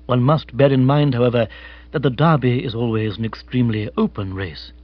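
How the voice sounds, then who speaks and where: A man's voice: medium pitch, fairly quick, no echo, lo-fi sound, pitched below the performer's own voice, natural voice. A man voicing an unflappable, formal English manservant in a storybook, very polite, precise and wordy. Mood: measured, deferential. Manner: stately, circumlocutory, very polite.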